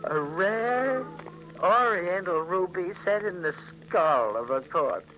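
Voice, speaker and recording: An actor playing a haunted, intense husband drawn toward murder, narrating a 1940s radio thriller in a dramatic, brooding, halting way. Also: male voice, high voice, lively intonation, rough recording. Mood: feverish, awed. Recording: old 1940s radio recording with music.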